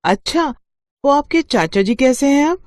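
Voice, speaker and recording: A woman's voice: high-pitched, very animated, moderate pace, a little mellow, no echo. A woman voicing a cheerful, friendly young woman who chats politely in a cartoon story.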